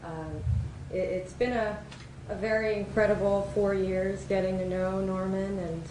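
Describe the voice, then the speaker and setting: A woman filmmaker giving informal thank-you remarks as a guest speaker, hesitant and pausing often. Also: medium pitch, level tone. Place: event hall before an audience. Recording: echoey room with background noise.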